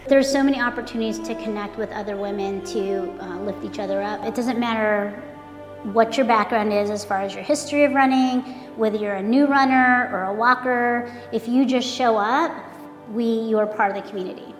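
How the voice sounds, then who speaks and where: A woman's voice: fairly high pitch, fairly even intonation, fairly quick, echoey room, big-room sound, soft background music. A woman speaking encouragingly in an interview about community and resilience. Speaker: interviewee, runner.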